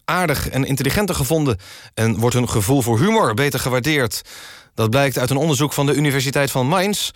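A man hosting a satirical radio magazine in a deadpan, mock-serious way. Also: mid-range voice, dry recording, clean studio sound. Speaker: radio host. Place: dry radio studio.

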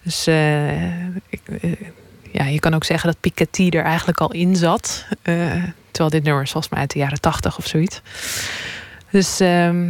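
Low-voiced young woman, dry close sound. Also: fairly even intonation, moderate pace, no room sound. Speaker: studio guest, academic researcher. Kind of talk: casual conversational interview answers.

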